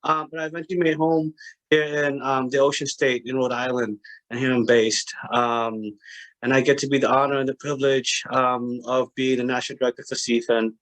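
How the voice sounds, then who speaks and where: A man in his thirties with a Boston accent, speaking earnestly as a panelist on a video call, with many pauses and restarts. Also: medium pitch, little pitch movement, a bit bright, low-quality recording. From Cambodia.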